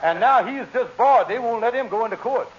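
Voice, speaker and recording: An actor playing a jovial, folksy schemer in a 1940s style radio comedy. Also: male voice, extremely high pitch, expressive, brisk. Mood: jovial, nosy. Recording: noisy muffled vintage radio recording.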